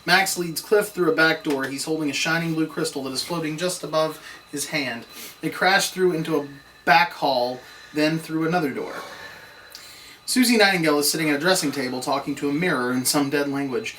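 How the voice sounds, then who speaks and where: Male narrator for a script read-through, bright and fairly high. Distant mic, faint noise. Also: fairly quick. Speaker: narrator reading stage directions. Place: room, read aloud at a table.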